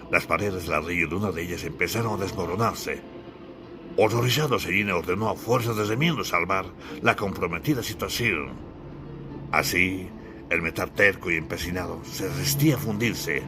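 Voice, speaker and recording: A man from Bolivia narrates an audiobook. His voice sits very low, and he delivers scripted literary prose with theatrical emphasis. Music plays clearly underneath.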